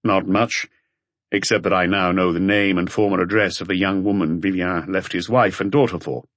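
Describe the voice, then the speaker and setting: A man voicing a patient, serious middle-aged police detective in an audiobook, speaking in measured, terse phrases. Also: low voice, fairly even intonation, fairly bright tone, no echo. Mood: measured, hesitant.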